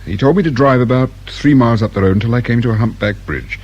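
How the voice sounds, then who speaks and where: A man's voice: medium pitch, fast, darkish tone, breathy edge, lo-fi sound, natural voice. An actor playing a distraught English father of a kidnapped boy in a radio drama. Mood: subdued grief. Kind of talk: naturalistic British radio drama acting. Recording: background noise and room ambience.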